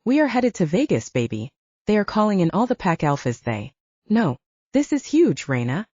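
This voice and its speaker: A woman's voice: mid-range voice, sing-song, normal speed, darkish tone, breathy edge, no echo, muffled recording. A woman as a blunt, protective best friend. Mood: eager. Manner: encouraging, blunt. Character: loyal, brash female best friend, teasing and protective. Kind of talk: audiobook romance character acting.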